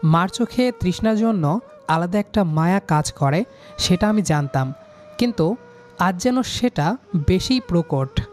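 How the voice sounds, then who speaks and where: A man's voice: high-pitched, animated, unhurried, pauses often, soft background music. A young man reading a scripted romantic story in the first person, voicing the lead character's lines.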